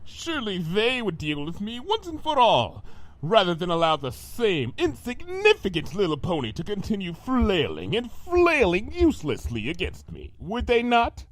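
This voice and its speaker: A man's voice: high-pitched, wildly swooping pitch, normal speed, dry recording, rough recording. A man playing a vengeful monster villain in an audio story, grandiose and menacing, with pompous, florid melodrama. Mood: smug, indignant. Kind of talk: dramatized fan fiction audio reading.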